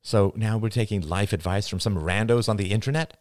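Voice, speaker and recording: A man's voice: on the low side, a little fast, dry recording, voice pushed up, close to an everyday voice. A man voicing a tired, skeptical young mother, dry and exasperated in an audiobook reading. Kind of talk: audiobook dialogue.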